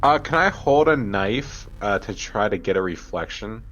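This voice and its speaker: A man's voice: fairly low pitch, expressive, moderate pace, fairly bright tone, slight background noise. A man playing a tabletop game, chatting casually and thinking aloud hesitantly, in slightly dull audio. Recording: slightly dull audio, faint music behind.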